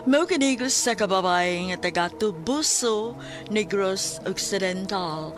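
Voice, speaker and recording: A woman's voice: mid-range voice, unhurried. A woman with a Filipino Cebuano accent giving scripted narration as the presenter of a radio drama. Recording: loud music under the voice.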